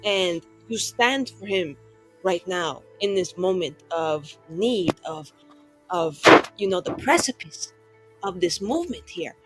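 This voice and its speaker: A woman's voice: fairly low pitch, expressive, slow pace, music in the background, rough recording. A woman playing a tabletop roleplaying game, improvising and chatting casually in a rambling, sweary, exasperated way.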